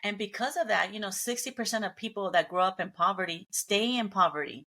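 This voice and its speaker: A woman's voice: mid-range voice, fairly quick, pushed and bright. A middle-aged woman whose first language is Spanish, speaking earnestly and upbeat as a guest in a casual interview. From Mexican family, grew up in Los Angeles.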